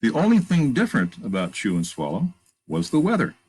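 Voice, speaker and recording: A man with a gravelly, husky voice reads a picture book aloud to children, pausing to point out pictures and ask questions. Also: mid-range voice. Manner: animated, emphatic read-aloud delivery. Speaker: children's storytime reader. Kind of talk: reading a picture book aloud with chatty asides.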